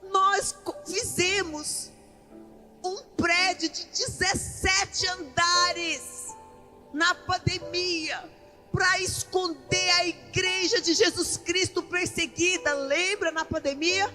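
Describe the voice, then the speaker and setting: A Brazilian woman preacher with a very high, forceful voice delivers an impassioned sermon. She speaks at an unhurried pace in plain colloquial language, driving points home with repetition. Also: fairly even intonation. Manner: emphatic, with rhetorical questions and repetition; colloquial, ungrammatical everyday speech. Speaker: pastor preaching at a women's church conference. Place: large indoor church event hall. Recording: echoey large hall PA, faint music.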